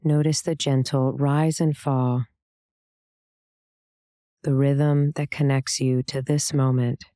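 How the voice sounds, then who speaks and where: Calm lady doing guided meditation, low soft-edged voice, really dry close sound, gentle n soothing w pauses. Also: no echo. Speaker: meditation guide, yoga teacher.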